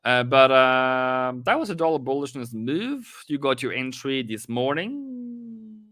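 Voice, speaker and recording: A man's voice: mid-range voice, lively intonation, a little slow, fairly bright tone, no echo, studio quality. A young Norwegian man with a light Norwegian accent, rambling and self-deprecating as he streams live trading commentary to his chat. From Norway.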